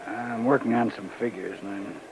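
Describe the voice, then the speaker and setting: An actor playing a hard-working, plain-spoken, caring husband in a 1940s radio drama. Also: male voice, on the low side, fairly even intonation, moderate pace, gravelly. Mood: earnest, troubled. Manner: plain, folksy. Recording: noisy 1940s radio recording.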